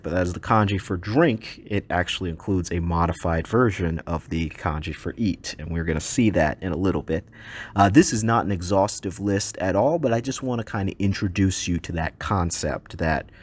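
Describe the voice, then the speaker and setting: A man whose first language is English, teaching a recorded slide lesson in a relaxed way with frequent ums and uhs. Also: low-pitched, slightly rough.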